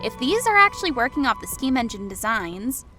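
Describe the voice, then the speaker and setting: Eager, amused female soldier, played by a woman, bright and lively delivery for audio drama. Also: fairly high pitch, brisk, soft background music, exaggerated voice. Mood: excited, amused. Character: Chicks, eager, amused female soldier studying military schematics. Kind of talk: audio drama character acting.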